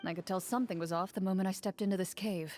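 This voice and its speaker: A woman voicing a calm, composed young female duelist in a video game, speaking in a cool, measured and direct way. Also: medium pitch, soft background music, lightly acted.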